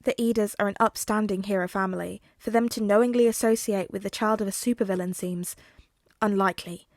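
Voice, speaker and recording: Woman reading a fanfic out loud like an audiobook, normal pitch, no echo. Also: steady tone, fairly quick, clean studio sound. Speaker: fan fiction narrator. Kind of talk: scripted story narration.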